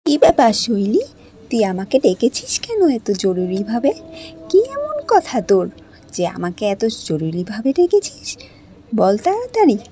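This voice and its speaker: A woman's voice: on the high side, huge pitch swings, normal speed, faint music behind. A woman voicing a hot-tempered but kind village girl in a cartoon, blunt and defiant. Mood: confiding. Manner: blunt, defiant, sharp-tongued. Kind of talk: cartoon voice acting.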